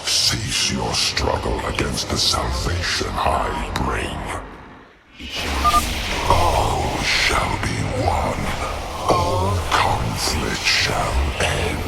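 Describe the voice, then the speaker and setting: Abyssally deep, gravelly monstrous villain. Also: male voice, wildly swooping pitch, extremely slow, exaggerated voice. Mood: menacing, grandiose. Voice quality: guttural, gravelly. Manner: grandiose, menacing. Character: monstrous dark hybrid godlike villain preaching doom. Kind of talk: video game villain acting. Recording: loud music behind the voice.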